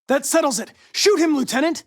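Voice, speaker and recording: A man's voice: extremely high pitch, a little fast. A man playing a polite, precise young male android detective in a dramatic video game. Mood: urgent, forceful. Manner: precise, formal, clipped. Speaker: police investigator android.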